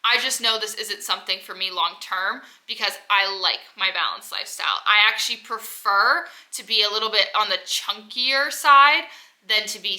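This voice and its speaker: A woman's voice: mid-range voice, sharp tone, rough recording. A woman in her twenties talking casually to camera in a personal vlog, rambling with lots of "like", stuffy from a head cold. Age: 20s. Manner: rambling, heavy use of "like". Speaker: vlogger.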